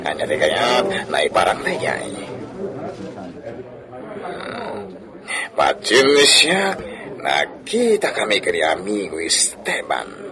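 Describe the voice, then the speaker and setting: A man with a Filipino accent playing a brooding, suspicious gentleman in a comedy radio drama, musing to himself in a murmur. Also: fairly low pitch, wildly swooping pitch, takes it slowly, long pauses, crowd noise, exaggerated voice. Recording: music bed under the voice.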